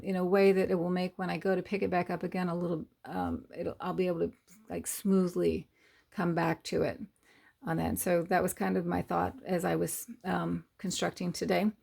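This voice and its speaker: A middle-aged woman, hoarse from losing her voice, hosts a casual sewing tutorial and explains her steps in a rambling, hesitant way with many fillers. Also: lowish voice, darkish tone. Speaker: sewing channel host.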